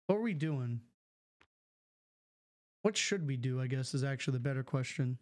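A man's voice: mid-range voice, animated, normal speed. A man chatting casually on a live game stream, reading chat aloud and answering it, on a studio mic at home. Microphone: studio mic with filters.

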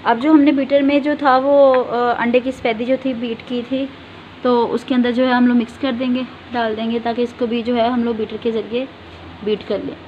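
A woman demonstrates a homemade cake recipe in a patient, instructive way, often telling viewers to look closely. Her voice sits a little high with a flat, even melody. The phone recording sounds a bit dull, with faint ambient noise behind her. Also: moderate pace. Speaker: home cooking channel host. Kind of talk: step-by-step cooking demonstration.

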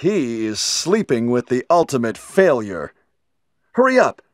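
An actor playing a gruff, decisive young action hero in a video game, terse and commanding with stilted delivery. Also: male voice, mid-range voice, sing-song, unhurried, no echo, clean studio sound. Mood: terse, urgent. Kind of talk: video game cutscene acting.

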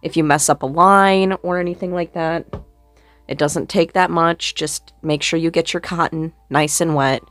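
A young woman with a somewhat low voice, a little sore and scratchy, chatting in a relaxed, self-deprecating way as she does her makeup. She talks close to a small desk mic in a dry, dead-sounding bedroom, with faint music underneath. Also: normal speed, no room sound. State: slightly sore voice. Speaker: makeup vlogger. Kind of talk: casual get-ready-with-me chat. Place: small bedroom with red curtain backdrop. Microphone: small desk mic on boom arm close up.

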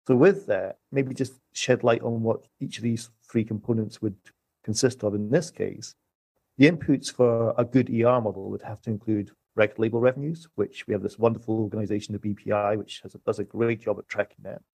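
A man's voice: fairly low pitch, steady tone, a little fast, creaky, a little mellow, husky, dry recording. A man, an economist guest, laying out his explanation in neat, numbered steps. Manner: orderly, lists points in steps. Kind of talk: podcast discussion, structured explanation.

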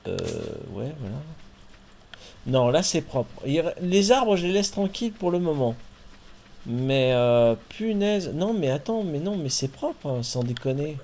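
Male streamer, chatty and playful, cusses lightly, relaxed gaming talk. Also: fairly high pitch, a little slow. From France. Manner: chatty, jokey, playful swearing. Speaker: streamer and host. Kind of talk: casual chat while playing a farming game.

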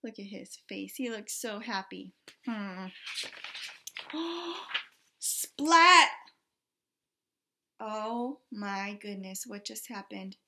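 A woman in her thirties, a teacher reading a picture book aloud expressively, pausing to exclaim and put questions to children. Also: medium pitch, expressive, sharp tone. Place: small indoor room with bulletin board.

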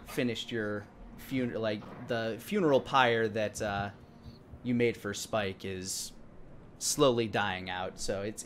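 A man in his thirties narrating a tabletop game as dungeon master, halting and pausing as he goes. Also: lowish voice. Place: indoor room, online game stream. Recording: clear background noise on mic.

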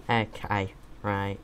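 Young man, a bit croaky, teasing gamer. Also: medium pitch, slight background noise. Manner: chatty, teasing, hesitant with frequent ums. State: sore throat, a bit croaky. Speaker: co-host playing a game with his father. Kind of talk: casual gaming chat.

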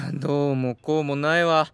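Audio drama: new teacher, Kansai accent, high-pitched, exasperated, crisp and dry. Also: male voice, level tone, measured pace, fairly bright tone, no echo.

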